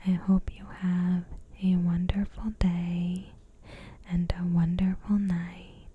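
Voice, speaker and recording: A woman giving ASMR commentary as a host, in a soft, breathy whisper with a gentle, measured, deliberate delivery. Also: on the low side, little pitch movement, no room sound, phone-quality sound. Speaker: video host.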